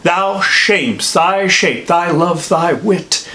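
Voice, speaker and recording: Casting: male actor as elderly holy friar for classical stage monologue. Higher male voice, unhurried theatrical verse, sage and admonishing. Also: pushed and bright, exaggerated voice. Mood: stern, outraged. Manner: deliberate, measured, theatrical verse delivery. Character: old holy friar, wise, reflective, scolding mentor.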